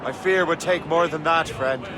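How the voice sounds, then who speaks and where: A man's voice: on the high side, moderate pace, over a noisy crowd, natural voice. A man playing a cool, war-weary young sea captain in a historical game, speaking in a dry, understated way. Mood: steady. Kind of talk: game drama dialogue.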